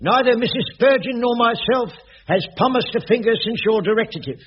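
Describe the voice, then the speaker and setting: An actor playing a flustered, fawning caretaker in a radio sitcom, stammering with an English working-class accent. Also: male voice, very high voice, expressive, normal speed, slight background noise. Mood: obsequious. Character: jobsworth male caretaker of shabby flats, flustered, obsequious. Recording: dull vintage radio recording, studio audience.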